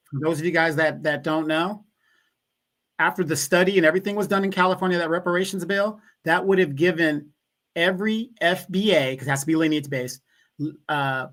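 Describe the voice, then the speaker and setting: A man's voice: fairly high pitch, moderate pace, fairly bright tone. A man co-hosting a live discussion, explaining points in an emphatic, casual way.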